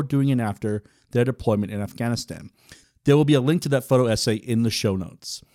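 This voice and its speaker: A man's voice: medium pitch, a little mellow, no echo. Guy hosting a podcast, pumped-up intros, dry close mic. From Wales. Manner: enthusiastic, emphatic announcer-style intros. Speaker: podcast host.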